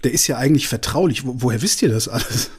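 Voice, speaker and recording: A man's voice: mid-range voice, fast talker, breathy edge. A male podcast host asking short, direct questions in a casual interview.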